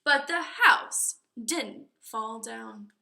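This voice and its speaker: A woman with a high, bright voice narrates a children's storybook in an echoey room. Her reading is expressive and deliberate, leaning on key words. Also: vocal fry. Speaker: storybook reader.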